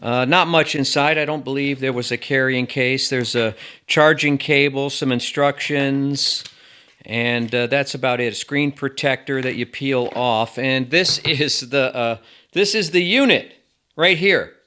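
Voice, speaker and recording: Bright-voiced man reviewing gadgets. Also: medium pitch, measured pace, clear, resonant tone. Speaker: tech reviewer, host. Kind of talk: casual gadget review to camera.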